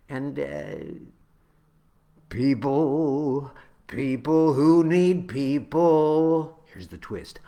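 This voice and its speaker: Guy doing slow spoken word poetry, halting and deliberate, pitch moves around a lot. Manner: halting, deliberate phrasing with dramatic swells and hushed drops. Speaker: poet reading own poem.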